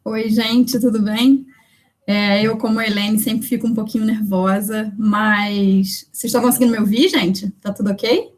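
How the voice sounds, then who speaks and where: A woman's voice: medium pitch, fairly even intonation, moderate pace, low-quality recording. A woman poet and researcher from Brazil introducing herself at an online poetry event, chatting from home over a video call with lots of fillers. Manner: chatty, warm thanks, many fillers.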